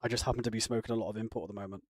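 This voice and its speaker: A man's voice: fairly low pitch, fairly even intonation, racing, dry recording, clean studio sound. A man chatting casually on a live stream, rambling and answering viewer comments. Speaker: live streamer reacting to chat. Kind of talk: casual chat.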